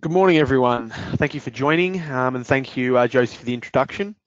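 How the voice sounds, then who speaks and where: A man's voice: mid-range voice, no room sound. Man presenting a webinar demo. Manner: measured, explanatory, some fillers. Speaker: webinar presenter, business development manager. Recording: dry dull lo-fi audio.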